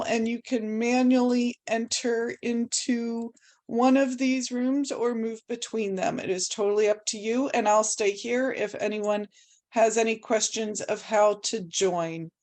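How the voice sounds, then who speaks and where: A woman's voice: fairly high pitch, steady tone, measured pace, a little mellow. Woman organizer giving meeting instructions.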